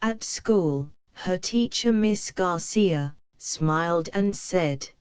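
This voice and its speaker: A woman reading a children's picture book aloud, slow and careful for young learners. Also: fairly low pitch, darkish tone, clean tone, no echo. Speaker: storybook reader.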